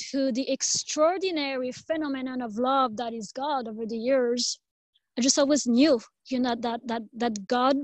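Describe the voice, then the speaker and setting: A woman from France, a native French speaker, giving a personal talk as a guest, reflective and pausing between short phrases. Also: sits high, no room sound. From Normandy, France. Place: online video conference.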